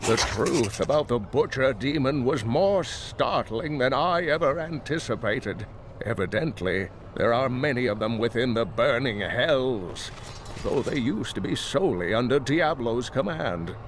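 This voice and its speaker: A man playing a thoughtful scholar narrating a journal in a video game, in a measured way. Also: mid-range voice, animated, a little hiss or hum, lightly acted. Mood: thoughtful, ominous. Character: scholarly male chronicler reading his journal. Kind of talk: scripted narration.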